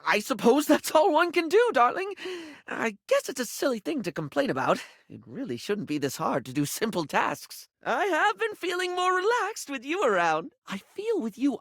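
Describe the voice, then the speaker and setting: A man's voice: extremely high pitch, fairly bright tone, dry recording, studio quality, full character voice. A man voicing a flamboyant, scatterbrained, affectionate beauty-product character in a video game, with a theatrical, gushing and rambling delivery that stammers at times.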